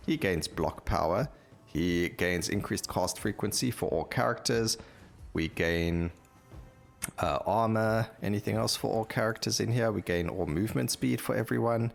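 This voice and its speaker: A deep-voiced man hosts a gameplay video in a casual way. His voice has a lot of creak and fry in it. He thinks aloud as he reads through stats and details on the screen. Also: normal speed. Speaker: gaming YouTuber host.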